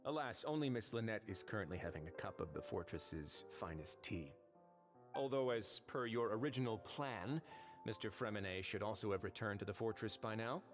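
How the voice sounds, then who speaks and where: An actor voicing a suave, calculating fortress warden in a video game, speaking with measured, wry courtesy and polite menace. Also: male voice, on the low side, animated, over music, close to an everyday voice. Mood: smoothly polite. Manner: measured, wryly courteous, formal.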